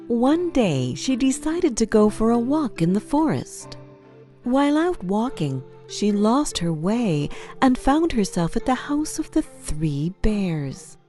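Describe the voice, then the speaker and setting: Slow, animated woman telling fairy tales. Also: fairly high pitch. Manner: expressive, deliberate storytelling. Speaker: storyteller. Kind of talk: scripted fairy-tale narration for children.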